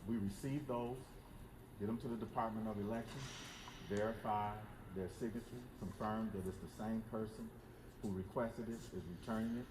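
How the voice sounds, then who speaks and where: Man, low voice, measured, methodical, election official, noisy background. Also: fairly even intonation. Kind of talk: explaining procedures. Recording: noisy room recording.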